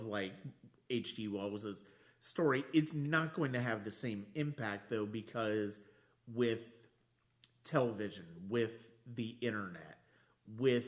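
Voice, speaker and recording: A man hosting a casual podcast discussion, speaking in halting, broken phrases as he thinks aloud. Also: medium pitch. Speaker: podcast host.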